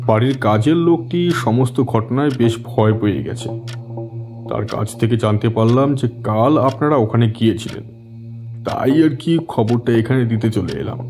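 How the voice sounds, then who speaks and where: A man's voice: mid-range voice, moderate pace, darkish tone, faint music behind, lightly acted. An actor playing a matter-of-fact male police officer in an audio drama, methodical and explanatory. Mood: reporting.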